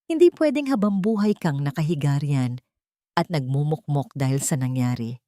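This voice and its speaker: Stern older matriarch, read by a female narrator, low-pitched, commanding, reproachful, audio drama scolding. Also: expressive, normal speed, warm tone, no echo. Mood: impatient scolding. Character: stern, domineering elderly mother-in-law, scolding and impatient.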